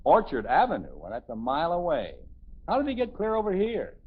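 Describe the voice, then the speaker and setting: A man's voice: on the high side, wildly swooping pitch, fairly quick, breathy edge, exaggerated voice. A man playing an exasperated middle-aged father, stern, flustered and sarcastic, in a 1950s radio sitcom. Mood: firm, sarcastic. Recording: dull, noisy 1950s radio recording.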